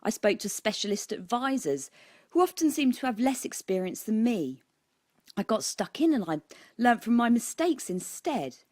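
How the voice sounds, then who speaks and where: A woman's voice: on the high side, sing-song, moderate pace, a little mellow, no room sound, clean studio sound. A woman with a British accent reading her own book aloud as scripted audiobook narration.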